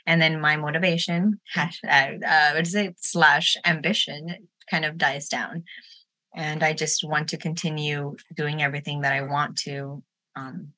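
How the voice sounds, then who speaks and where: A woman's voice: deep voice, sharp tone, poor audio. A woman in her late twenties hosting a casual roundtable podcast, talking informally and candidly with a playful streak. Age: late 20s. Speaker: podcast host.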